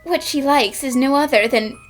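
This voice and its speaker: A woman's voice: sits high, moderate pace, faint music behind, natural voice. A woman voicing a perky, inquisitive young female detective in a cartoon fan dub, chirpy and keen to explain. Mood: bright, curious. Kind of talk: animated mystery fan dub acting.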